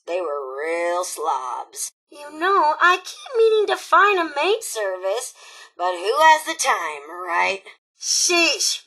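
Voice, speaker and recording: A woman voicing a brash, sarcastic, scheming young-sounding female raider robot in a video game, with a raspy, sassy, slangy delivery. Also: mid-range voice, pushed and bright, heavily acted, poor audio. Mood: flippant, sarcastic, then breezy, evasive. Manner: sassy, slangy, mock-sweet, flippant. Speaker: quest-giving game character. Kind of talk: video game character dialogue.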